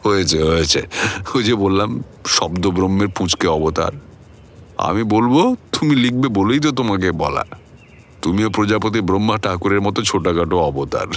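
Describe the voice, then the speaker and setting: A man playing an introspective, philosophical older gentleman who recounts his uneasy past in a radio drama. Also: low voice, moderate pace. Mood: gently instructive. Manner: musing, expressive, rhetorical. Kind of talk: dramatic first-person storytelling in a radio play. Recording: music bed behind the voice.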